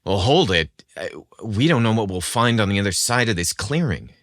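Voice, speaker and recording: A man's voice: lowish voice, animated, normal speed, fairly bright tone, no room sound, studio quality. Man playing a casual, slightly nervous young guy. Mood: cautious, nervous. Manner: hesitant, teasing, practiced casualness. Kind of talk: dramatized story narration.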